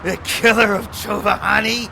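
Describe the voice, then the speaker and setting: An actor playing a vengeful, menacing male warlock in an audio drama, speaking slowly in a gravelly voice. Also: sits high, fairly even intonation, heavy background noise, exaggerated voice.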